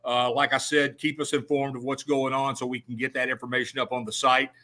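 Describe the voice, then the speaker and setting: A man hosting a radio show, talking in a folksy, joking way with halting restarts. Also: medium pitch, flat delivery, sharp tone. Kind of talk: casual on-air interview.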